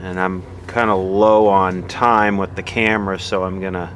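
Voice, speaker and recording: A man narrating a casual, unscripted hands-on repair demonstration in a matter-of-fact, halting way, as host of his own video. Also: sits low, little pitch movement, fairly bright tone. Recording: clearly audible background noise.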